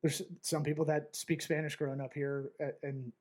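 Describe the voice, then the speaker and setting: A man's voice: a little high, level tone, normal speed, a little mellow. A man, hosting a casual podcast, rambling, interrupting himself and joking. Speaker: podcast host.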